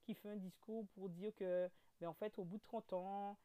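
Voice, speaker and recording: A woman's voice: mid-range voice, moderate pace. Chatty Guadeloupean woman in her thirties. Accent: Guadeloupean French. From French overseas territory. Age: 30s. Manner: animated storytelling with many fillers and restarts. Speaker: guest. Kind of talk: casual interview chat.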